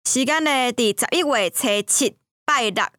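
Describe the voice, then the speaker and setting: A woman's voice: sits high, animated, normal speed, bright, forceful tone, no echo, clean studio sound. A woman with a Taiwanese accent co-hosting a casual promotional chat. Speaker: podcast co-host, theatre troupe member.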